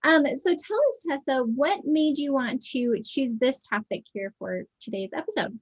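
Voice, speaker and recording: A woman hosts a business podcast in an enthusiastic, upbeat way. Her voice sits a little high, and she introduces and questions her guest with eager energy. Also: moderate pace, husky, poor audio. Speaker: podcast host and business coach. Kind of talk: podcast interview hosting.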